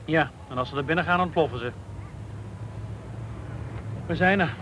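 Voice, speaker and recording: An actor playing a blunt, wisecracking police detective in a casual radio play. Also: male voice, a little high, moderate pace, fairly bright tone, close to an everyday voice. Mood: wry. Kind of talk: naturalistic radio play dialogue. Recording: noisy street and vehicle ambience.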